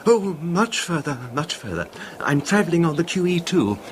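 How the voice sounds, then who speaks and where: Timid, halting little man. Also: a little high, sing-song. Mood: nervous, confiding. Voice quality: soft-spoken, sometimes barely audible. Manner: tentative, deferential, halting, overly polite. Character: timid, nervous, apologetic little man hiding unease. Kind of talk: 1970s radio horror drama acting. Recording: faintly noisy old radio recording.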